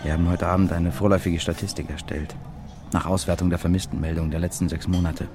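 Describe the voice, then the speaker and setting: An actor playing an earnest, uneasy newspaper reporter in a German radio drama, talking in a halting, intense way. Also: male voice, low-pitched, level tone. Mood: grave. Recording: background noise and ambience.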